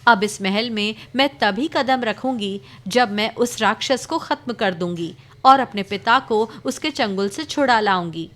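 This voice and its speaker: A woman's voice: on the high side, unhurried, few pauses, a bit bright. A woman voicing a brave, determined young princess in a cartoon. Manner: resolute, reassuring.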